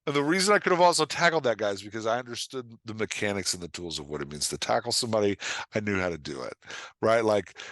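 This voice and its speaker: A man's voice: on the low side, fairly bright tone, no echo. A man talking casually as a podcast guest, explaining with examples in an emphatic, storytelling way and often checking in with his listener. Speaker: podcast guest, writing coach and author.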